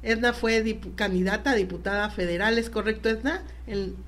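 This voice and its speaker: A woman's voice: mid-range voice, brisk, fairly bright tone. A Mexican woman hosting a live talk show, chatty and informal as she presents and interviews guests. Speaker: radio show host.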